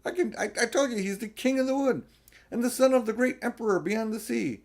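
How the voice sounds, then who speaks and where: A man's voice: very high-pitched, expressive, brisk, vocal fry, darkish tone, pitched above the performer's own voice, heavily acted. A man voicing a male talking beaver in a storybook, an earnest, solemn woodland creature with a folksy, emphatic manner. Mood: stern, excited. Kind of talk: storybook character voice.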